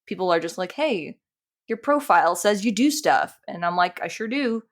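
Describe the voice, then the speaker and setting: A woman's voice: lowish voice, lively intonation, normal speed. A woman chatting casually as an interview guest, informal and self-deprecating in the way she talks. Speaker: podcast guest, animator and dungeon master.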